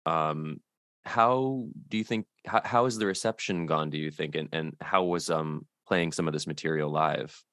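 A man's voice: a bass voice, normal speed, a bit bright, no echo, clean studio sound. A man hosting a casual interview, asking rambling, hesitant questions with light laughs. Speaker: podcast host.

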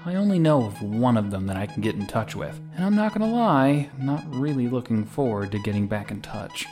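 An actor playing a sarcastic young freedom fighter in a sci-fi audio drama. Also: male voice, medium pitch, lively intonation, brisk, darkish tone, faint music behind, close to an everyday voice. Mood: urgent, reluctant.

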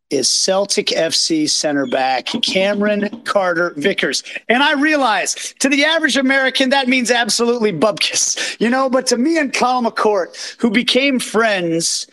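A hoarse man from Kansas City hosting a live post-match reaction, talking in an excited, rambling, self-deprecating way from a green room backstage. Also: sits high. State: hoarse from yelling. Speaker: podcast host. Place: green room behind an outdoor stage. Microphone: phone.

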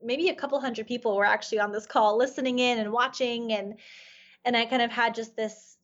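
A woman's voice: fairly high pitch, steady tone, fairly quick, a bit bright, dull audio. A woman whose first language is Farsi, a life coach telling a personal story in an earnest, reflective way with many "you know" fillers. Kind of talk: storytelling in a podcast interview.

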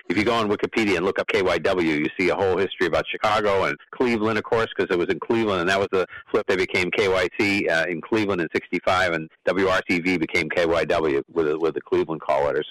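A man with a low voice talks at a fast clip in a dry, close sound. His delivery is casual, conversational panel talk. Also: a bit bright, no echo, low-quality recording. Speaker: radio discussion panelist. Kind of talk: casual chat about radio history.

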